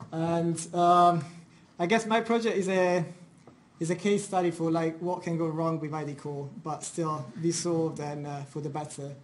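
A young man from Italy with an Italian accent, presenting his research at a university seminar in an informal way, with many fillers and restarts. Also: on the high side. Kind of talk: academic seminar talk.

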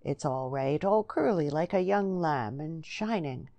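A woman's voice: low voice, lively intonation, a little slow, warm tone, dry recording. Woman voicing an earnest rustic English young countryman. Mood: smitten. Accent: rural English dialect. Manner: blunt, earnest dialect speech.

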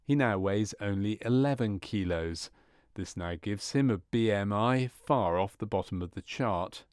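A man reading scripted narration for a medical training film in a measured, formal manner. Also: fairly low pitch, darkish tone, clear, resonant tone, no echo, clean studio sound.

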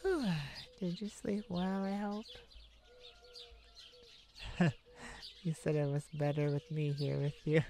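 A woman playing a tenderhearted mage devoted to a werewolf partner in a romantic ASMR roleplay, soft, affectionate and creaky, with pauses. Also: low-pitched, steady tone, a little hiss or hum. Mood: affectionate, resolute. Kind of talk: romantic ASMR roleplay, one-sided dialogue.

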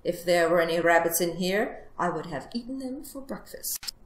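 A woman voicing a hungry male fox in a storybook, gruff, gloating and quick to get exasperated. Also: fairly low pitch, expressive, slight background noise, close to an everyday voice. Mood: scornful. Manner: growling, shouting, emphatic. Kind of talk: storybook character voice. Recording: roomy, echoing sound.

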